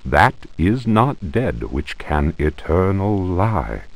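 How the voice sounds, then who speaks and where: An actor playing a grave reader of an accursed tome in a video game, solemn, ominous and theatrical. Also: male voice, low voice, very animated, takes it slowly, heavily acted. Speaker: narrator. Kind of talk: theatrical reading of an ancient occult book.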